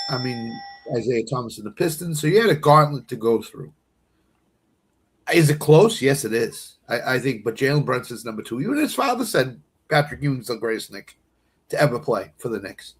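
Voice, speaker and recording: Husky man in his fifties. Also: medium pitch, sing-song, normal speed. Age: 50s. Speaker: sports talk panelist. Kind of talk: casual sports debate.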